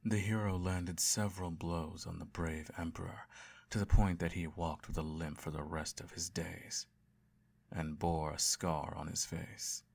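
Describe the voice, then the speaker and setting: A man playing a self-righteous evil emperor and doting father, telling a bedtime story in a measured, grandiose way in an audio roleplay. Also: sits low, level tone, gravelly, exaggerated voice. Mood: solemn storytelling.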